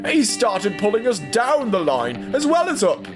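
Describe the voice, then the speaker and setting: Guy doing whiny complaining train trucks, super dramatic, high voice, music in back. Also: wildly swooping pitch, a little slow, exaggerated voice. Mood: aggrieved. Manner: whining, melodramatic. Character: whiny, aggrieved railway freight trucks, complaining bitterly.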